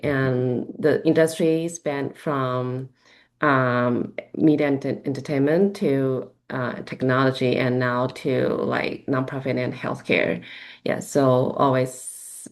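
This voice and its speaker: A woman student introducing herself on a video call, speaking hesitantly with many fillers. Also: rumbling low. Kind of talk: self-introduction in class.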